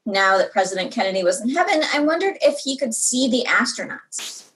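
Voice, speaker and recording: A woman reading a storybook aloud to young children in an expressive way, drawing out words for dramatic emphasis. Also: a little high, a little fast, slight background noise, rough recording.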